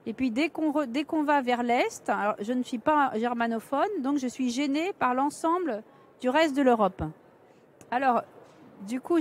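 A woman's voice: fairly high pitch, darkish tone. A woman in her thirties, a museum curator, explaining her views on a conference panel into a handheld mic, with some hesitant fillers. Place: large conference stage indoors.

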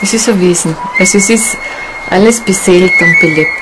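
A woman talking reflectively in a documentary interview, halting and pausing to find her words. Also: on the low side, very noisy. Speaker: shamanic seminar leader and interviewee. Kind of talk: documentary interview, unscripted talk.

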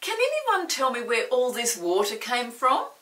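A woman voicing a loud, fussy grown man in a bathtub from a picture book, bellowing his words out slowly. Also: a little high, huge pitch swings, pitched above the performer's own voice, exaggerated voice. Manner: bellowing, drawn out. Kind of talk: storybook read-aloud. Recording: roomy, distant mic, faint hiss.

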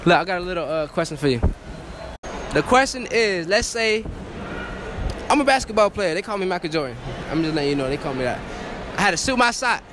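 Teasing teen guy with a high voice. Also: lively intonation, a little fast, pauses often, background noise. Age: teens. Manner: teasing, boastful, laughing. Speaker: host. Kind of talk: joking street interview. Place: indoor shopping mall. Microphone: handheld mic.

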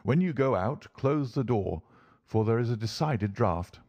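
Man doing a calm posh-mannered detective for an audiobook, polite, unbothered, a bit amused, dry sound. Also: mid-range voice, a little slow, dry recording. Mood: dryly amused. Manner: bland, imperturbable, courteous. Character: calm, urbane gentleman detective, dryly amused.

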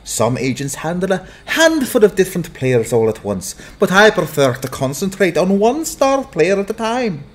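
A man's voice: high-pitched, sing-song, moderate pace, hardly any pauses. A man voicing a slick, fatherly sports agent and hustler in a dramatized fantasy audiobook, genial and wheedling. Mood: genial, smooth. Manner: genial, wheedling, calls listeners "son".

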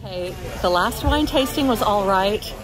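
A woman's voice: medium pitch, animated, normal speed, roomy sound, long reverb tail, music in the background, poor audio. A woman hosting a travel vlog, chatting playfully and gushing as she narrates. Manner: playful, laughs often, gushing descriptive narration. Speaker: travel vlogger. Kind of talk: casual travel vlog chat and voice-over.

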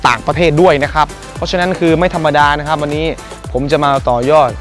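A young man, a singer mentoring students, speaking politely and earnestly to the camera in a presenter style, first outside a school and then inside a music school. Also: on the high side. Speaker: guest singer and workshop mentor. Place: outdoors by a school building, then indoors at a music school.

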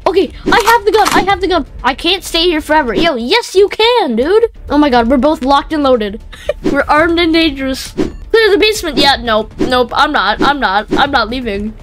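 Female game streamer, very high voice, excitable, slangy and repetitive, music underneath. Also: moderate pace. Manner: excitable, exclaiming and repeating phrases. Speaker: gaming video host. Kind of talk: excited gameplay commentary with casual slang.

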